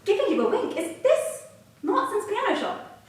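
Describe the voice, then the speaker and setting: A woman's voice: very high-pitched, sing-song, moderate pace. A woman playing a brash, cheeky suffragette composer in a comic staged reading, with wry, swaggering banter. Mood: amused, playful. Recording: very echoey room, distant mic, noise.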